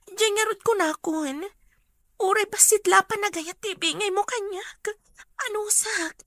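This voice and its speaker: A woman's voice: very high voice, a little slow, a bit bright, no room sound, studio quality, exaggerated voice. A woman with an Ilocano accent playing an emotional, pleading woman in a radio drama.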